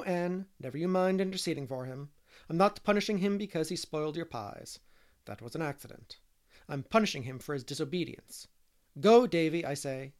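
A man's voice: medium pitch, natural voice. A man voicing a stern, no-nonsense older woman guardian with a firm, scolding manner in a storybook. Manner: scolding, firm, matter-of-fact.

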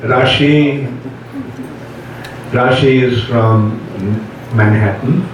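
A man leading an initiation class, introducing participants to the group in an unhurried, informal way. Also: mid-range voice. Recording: echoey hall, distant mic, loud crowd noise.

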